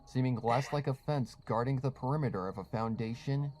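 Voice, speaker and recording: A man's voice: medium pitch, a little fast, darkish tone, soft background music. A man reading scripted horror fiction as a storytelling narrator.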